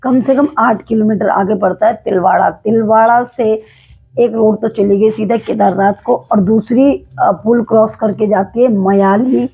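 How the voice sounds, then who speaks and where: A woman from Uttarakhand with a slightly hoarse throat, telling a devotional temple legend to camera in a casual, hesitant way. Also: mid-range voice, steady tone, unhurried, warm tone, low-quality recording. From Uttarakhand, India. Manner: devout, explanatory, with frequent hesitations.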